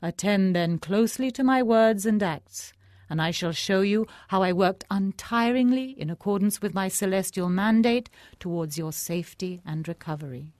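A woman's voice: medium pitch, moderate pace, darkish tone, no echo, clean studio sound, voice pushed up, natural voice. A woman voicing a pompous, self-important male holy man who speaks solemnly.

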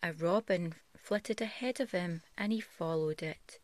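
Mother-type storyteller: woman, slightly low voice, gentle, slow picture-book reading. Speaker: parent reading a bedtime story. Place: bedroom, on a bed at home.

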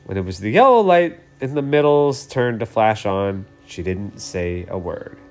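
A man's voice: lowish voice, animated, unhurried, slight background noise. A man in his thirties explaining things simply and reading a storybook aloud for children. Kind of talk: casual introduction and storybook read-aloud.

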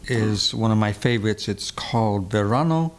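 An older man, a guest musician, telling relaxed stories with dry puns in a living room. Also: fairly low pitch, moderate pace. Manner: relaxed, wry, punning. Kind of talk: conversational storytelling.

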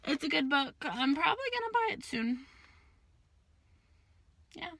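A woman's voice: high-pitched, sing-song, measured pace, sharp tone, slight background noise. A woman in her twenties, chatting casually and rambling with lots of fillers, filming herself on a phone held at arm's length inside her car. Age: 20s. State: hoarse, losing her voice. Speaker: vlogger. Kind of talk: casual vlog chat.

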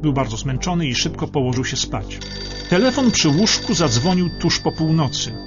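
A man's voice: a little high, expressive, moderate pace, highs cut off. A man delivering scripted historical documentary narration for radio. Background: clear background music.

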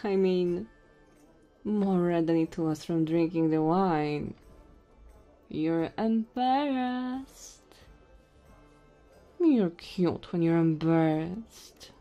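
A woman's voice: fairly low pitch, warm tone, dull audio, soft background music. A woman voicing a tipsy, flirty female goblin innkeeper in an ASMR audio roleplay, teasing and intimate. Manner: teasing, intimate, drawn-out drunken musing.

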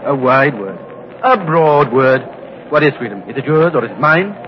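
An actor playing a poor, sardonic medieval scholar in a radio drama, declaiming in a theatrical, rhetorical and mocking way. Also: male voice, mid-range voice, big pitch swings, normal speed, lightly acted. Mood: declaiming, prophetic. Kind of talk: 1950s radio drama acting. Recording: dull, noisy vintage radio recording.

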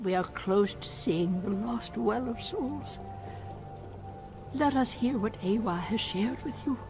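A woman playing a serene, solemn alien spiritual leader in a video game, measured and reverent. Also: on the high side. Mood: reverent, gentle. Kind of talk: dramatic video game acting. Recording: music behind.

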